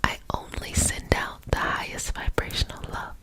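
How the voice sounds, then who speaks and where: A young woman whispering softly and affectionately as a Reiki teacher guiding a relaxing healing session. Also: moderate pace. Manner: soft whisper throughout, affectionate and soothing.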